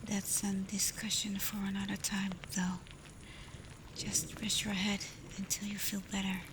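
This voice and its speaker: Woman playing a female alpha werewolf, tender and protective, hushed breathy ASMR delivery, even unhurried tone, clear ambient storm noise. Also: mid-range voice, lightly acted. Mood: shy, affectionate. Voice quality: soft, breathy, often whispered. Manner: slow, gentle, hesitant when confessing feelings. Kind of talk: intimate ASMR roleplay addressed to listener.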